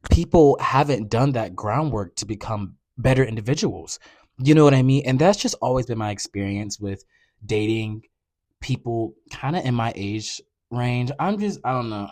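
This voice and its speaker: A man's voice: dry recording, studio quality. Sassy young man chatting on a podcast. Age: 20s. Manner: animated, sassy, conversational with frequent "you know what I'm saying"; rises into loud high outbursts when worked up. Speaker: podcast host. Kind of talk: casual solo podcast talk.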